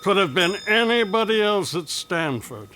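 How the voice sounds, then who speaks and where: Sneering, high-pitched cruel father. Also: expressive, moderate pace, bright, forceful tone. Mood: sneering, dismissive. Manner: taunting, insulting, goading. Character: cruel, sneering man denying he is her father. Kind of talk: radio drama acting.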